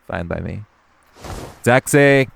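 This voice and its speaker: Man playing a deep, gravelly, cocky male vampire. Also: expressive, slow, heavily acted. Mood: teasing, cocky. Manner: smug, taunting, drawling, playfully menacing. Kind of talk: intimate roleplay audio drama.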